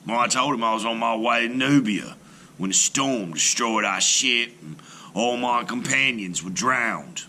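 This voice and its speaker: A man narrating a folktale in the first person as a storyteller, in a deliberate, expressive, dramatic way. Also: medium pitch, slight background noise. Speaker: storyteller reading aloud.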